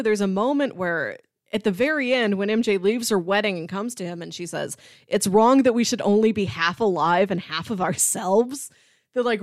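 A woman's voice: medium pitch, no echo. A female podcast co-host with a mid-range voice, recorded close and dry. She chats in an analytical way, building her argument fluently and with emphasis. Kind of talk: casual analytical debate chat.

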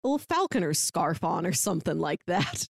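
Woman, podcast co-host, very animated intonation, enthusiastic casual chat, dry close sound. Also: on the low side, fairly quick, creaky, dry recording. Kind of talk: casual book discussion.

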